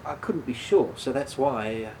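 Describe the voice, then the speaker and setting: Halting, guilt-ridden man acted by a man, faint noise. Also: mid-range voice, animated, dark tone, close to an everyday voice, low-quality recording. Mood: hesitant, ashamed. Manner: halting, stammering, hesitant. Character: guilt-ridden, apologetic man who caused a fatal crash. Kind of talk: radio play acting.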